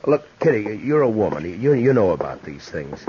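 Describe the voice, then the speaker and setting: An actor playing a sober, courteous frontier marshal in a 1950s radio western, hesitant and plain-spoken. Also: male voice, fairly low pitch, big pitch swings, moderate pace. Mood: concerned. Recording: muffled, noisy 1950s radio recording.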